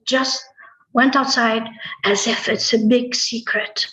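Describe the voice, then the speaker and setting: A woman telling a tall tale live, with deliberate, dramatic phrasing, pauses and repetition. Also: a little high, steady tone, sharp tone. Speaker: storyteller. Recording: roomy, echoey, slightly muffled online-call audio.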